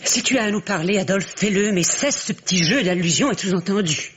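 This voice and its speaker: A woman's voice: medium pitch, brisk, close to an everyday voice. An actress playing a sarcastic, bitter woman in an old French radio mystery, speaking with biting, haughty formality. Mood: cutting. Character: sarcastic, bitter middle-aged relative, cutting and haughty. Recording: noisy old radio recording.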